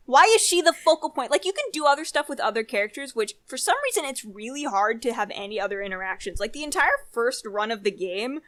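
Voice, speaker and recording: A woman's voice: a little high, expressive, fast, few pauses, fairly bright tone. A young woman co-hosting a podcast, chatting casually and giving her opinions in an informal, rambling way. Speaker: podcast co-host. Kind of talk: casual chat.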